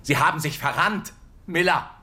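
An actor playing a captive German officer in a radio play, guarded and questioning. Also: male voice, fairly high pitch, normal speed, bright, forceful tone. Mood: wary. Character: captive German officer, adult man, wary.

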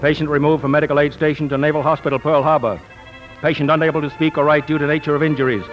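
An actor playing an impersonal military medical officer reading a report in a clipped, formal 1940s radio style. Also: male voice, a little high, level tone, rapid-fire, natural voice. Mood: clinical. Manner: clinical, staccato. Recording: muffled 1940s radio broadcast with music.

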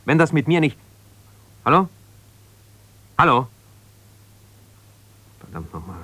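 An actor playing an embittered, ambitious lawyer husband who talks in an argumentative, colloquial way in a naturalistic radio play. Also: male voice, medium pitch, sing-song, slow pace, sharp tone, slightly rough, dry recording, full character voice. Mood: angry, frustrated. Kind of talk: naturalistic radio play, one side of a phone call.

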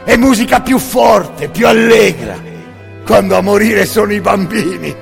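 A man with a gravelly voice performing an intense, incantatory scripted monologue in the second person, repeating phrases between long pauses. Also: high voice, lively intonation. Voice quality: gravelly, creaky. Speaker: actor and storyteller of a solo radio theatre monologue. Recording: music bed under the voice. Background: music.

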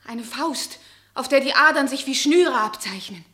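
A woman's voice: high voice, expressive, moderate pace, bright, forceful tone. A woman acting an anguished, defiant woman in a radio drama, speaking in an intense, declamatory way.

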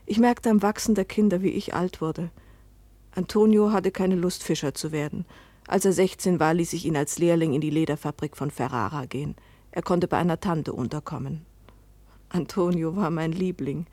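Actress as a husky, wistful middle-aged mother. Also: fairly low pitch, moderate pace, dark tone, no room sound, natural voice. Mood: wistful, subdued. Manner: restrained, wistful, intimate storytelling. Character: weary, hopeful Italian mother narrating her life's disappointments. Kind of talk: radio drama first-person narration and dialogue.

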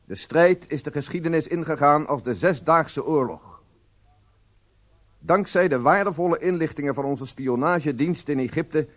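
An actor playing a proud, solemn head of a secret service, narrating a radio drama in a formal, measured way. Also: male voice, a little high, close to an everyday voice. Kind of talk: scripted first-person radio drama narration.

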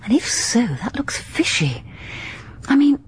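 Casting: a determined young sleuth, breathy, crisp and insistent, old radio play. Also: female voice, on the high side, big pitch swings, unhurried, heavily acted. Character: brisk, determined young amateur sleuth woman.

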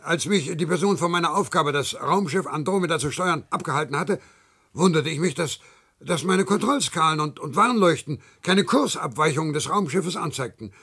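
Husky, earnest, dutiful citizen. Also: male voice, a little high, moderate pace, bright, forceful tone, exaggerated voice. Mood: agitated, aggrieved. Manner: hesitant, stammering, officious. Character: earnest, dutiful, gullible male spaceship-steering citizen. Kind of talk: German science-fiction radio play acting.

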